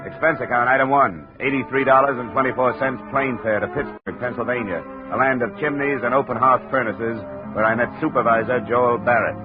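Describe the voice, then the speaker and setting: An actor playing a wry, clipped American insurance investigator in a 1950s radio detective drama, on a vintage radio recording. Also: male voice, mid-range voice, a little fast, hardly any pauses, natural voice. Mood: wry, businesslike. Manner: wry, clipped, matter-of-fact. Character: hardboiled wisecracking male American insurance investigator. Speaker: lead actor narrating as investigator. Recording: tinny vintage radio recording, music behind.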